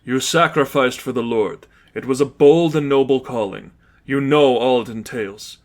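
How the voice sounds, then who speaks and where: A man voicing an old priest, calm, firm and weary, speaking in a measured, solemn way. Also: mid-range voice, close to an everyday voice. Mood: calm firmness.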